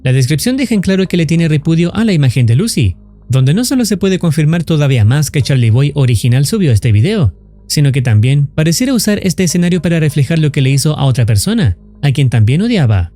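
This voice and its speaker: A man's voice: a little high, very animated, fast talker, warm tone, faint music behind. A man reading scripted narration for a horror explainer video.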